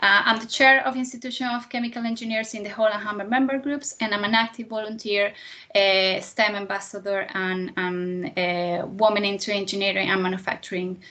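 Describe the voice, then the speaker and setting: A woman's voice: medium pitch, fairly even intonation, brisk, sharp tone. A woman in her thirties from Venezuela, a native Spanish speaker with a Venezuelan accent, giving a careers presentation to students in slightly hesitant, non-native English. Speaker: guest speaker, chemical engineer.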